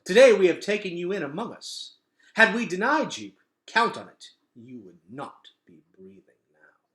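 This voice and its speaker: A man voicing a dignified old man of an underground kingdom in a children's novel, speaking in a formal, measured and courteous way. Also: sits high, very animated, fairly bright tone, reverberant, pitched above the performer's own voice. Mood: stern. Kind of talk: children's novel read-aloud.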